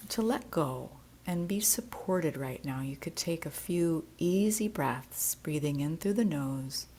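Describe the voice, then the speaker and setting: A woman teaching yoga nidra, leading a guided relaxation meditation in a gentle, soothing, unhurried manner. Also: low voice, slight background noise.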